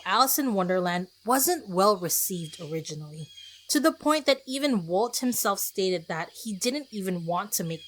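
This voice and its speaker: Woman hosting a solo podcast, expressive delivery. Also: mid-range voice. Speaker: podcast host. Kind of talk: solo podcast review, part scripted narration.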